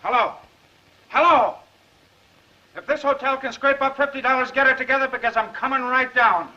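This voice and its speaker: A man's voice: extremely high pitch, normal speed. An actor playing a brash, wisecracking newspaper reporter down on his luck in a 1930s Hollywood film. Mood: exuberant. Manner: cocky, wisecracking. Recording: muffled, noisy 1930s film soundtrack.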